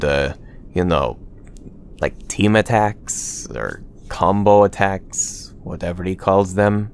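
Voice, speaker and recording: A man playing a reserved warrior at bedtime in an ASMR roleplay, speaking in a hesitant, halting, awkward way. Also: sits low, slight background noise.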